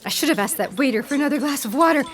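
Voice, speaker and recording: An actress as an anxious female paleontologist in a comic sci-fi audio drama. Her voice sits high. She is defensive and easily flustered, halting as she explains herself. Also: lively intonation. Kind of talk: comedic sci-fi audio theatre acting.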